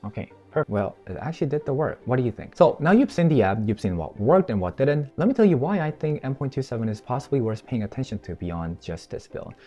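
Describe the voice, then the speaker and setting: A man whose first language is Korean, chatting through a software tutorial and review on a wireless mic and checking in with the viewer. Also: mid-range voice, sing-song, fast talker, few pauses, faint music behind. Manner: chatty, explanatory, tosses in "right?" to the viewer. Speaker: tech YouTuber. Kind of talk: casual screen-recorded tutorial and sponsored review. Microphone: wireless microphone.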